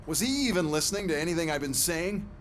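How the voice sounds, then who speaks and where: An actor playing an irritated, bossy police superior in a video game, curt and scornful. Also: male voice, fairly high pitch, expressive, fast, slight background noise, close to an everyday voice.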